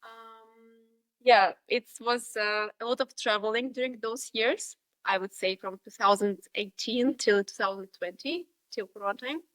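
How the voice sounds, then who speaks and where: A woman's voice: on the high side, steady tone, a little slow, a bit bright, dry recording, poor audio. A woman from Russia in her thirties with a Russian accent, reflecting as a podcast guest, with many fillers and restarts. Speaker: guest, dance instructor. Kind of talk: podcast interview.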